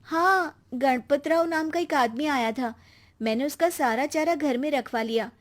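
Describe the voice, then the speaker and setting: Woman acting earnest, high-voiced poor village mother. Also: measured pace. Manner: earnest, pleading, humble. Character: poor, devout, kind-hearted young village mother. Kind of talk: Hindi moral storybook acting.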